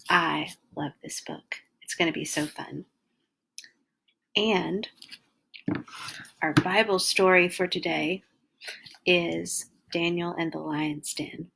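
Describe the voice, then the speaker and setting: A woman hosting a read-along, reading a children's picture book aloud with animated, exaggerated emphasis for young listeners. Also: fairly low pitch, vocal fry, slightly rough, poor audio.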